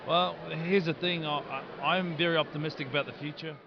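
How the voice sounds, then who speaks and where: A man from New Zealand with a New Zealand accent, a rugby captain being interviewed outdoors, easygoing and quick to laugh. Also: on the high side, steady tone, lo-fi sound, a little hiss or hum. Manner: easygoing, laughs often, optimistic.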